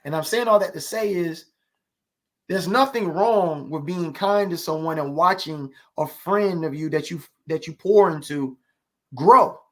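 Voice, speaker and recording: High-voiced man telling stories in conversation. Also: unhurried. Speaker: podcast guest, actor. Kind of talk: conversational storytelling interview.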